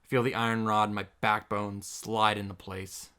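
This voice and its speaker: A man reading the visual novel monologue of an earnest, determined young student of magic, in a hushed, solemn, measured way. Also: on the low side, flat delivery. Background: background music.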